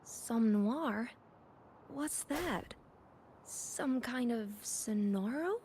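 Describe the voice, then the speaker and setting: A woman's voice: a little high, expressive, extremely slow, darkish tone, a little hiss or hum. A woman playing an earnest, wistful young woman who is grieving her grandmother in a video game. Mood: wistful, uncertain.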